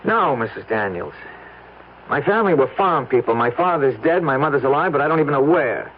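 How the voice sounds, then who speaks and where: A man's voice: medium pitch, lively intonation, brisk, slightly rough, phone-quality sound. A man playing an earnest, stubborn young husband in an old radio drama, pleading and insistent. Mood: defensive, insistent. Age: young adult. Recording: noisy, thin old radio recording.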